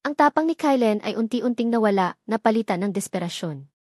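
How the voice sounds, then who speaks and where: A woman storyteller giving a steady, scripted dramatic narration. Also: on the high side, fairly quick, dry recording, clean studio sound.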